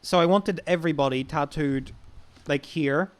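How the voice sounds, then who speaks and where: A man's voice: on the high side, normal speed. A young man with an Irish accent telling personal stories in a casual, informal, sweary chat as a podcast guest. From Ireland.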